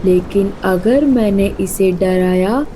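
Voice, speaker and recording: A woman's voice: medium pitch, slow, mellow, slight background noise, voice pushed down, close to an everyday voice, rough recording. A woman voicing a lazy, greedy male predator bird in a children's cartoon, musing and gloating with menace. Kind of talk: Hindi children's cartoon voice acting.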